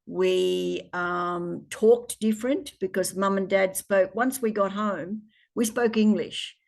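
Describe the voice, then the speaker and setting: A woman in her seventies with an Australian accent, recalling her family's past in an interview, pausing often with ums. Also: mid-range voice, fairly even intonation, creaky, a little mellow. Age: 70s. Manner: hesitant, many ums, reflective. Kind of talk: oral history interview.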